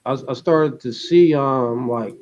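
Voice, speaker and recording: A man's voice: medium pitch, slow, a little mellow. Man in dull, muffled audio. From Detroit, United States. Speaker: panel member, army veteran. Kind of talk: casual personal recollection.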